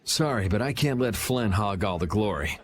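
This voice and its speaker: An American actor playing a cool, wry, self-assured young hero in an anime game dub, speaking in a dry, sardonic way. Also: male voice, on the low side, lively intonation, moderate pace, natural voice. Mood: wry, determined.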